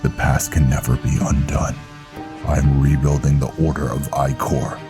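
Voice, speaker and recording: A man's voice: very deep voice, level tone, moderate pace, music in the background, pitched below the performer's own voice. A man voicing a grave, terse, honor-bound vampire knight commander in a game roleplay. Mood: grave, resolute.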